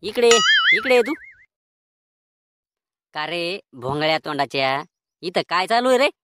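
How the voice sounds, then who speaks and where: A woman voicing a gruff male village schoolteacher in a comedy cartoon, scolding and threatening in coarse rural Maharashtrian speech. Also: fairly low pitch, big pitch swings, a little slow, heavy background noise.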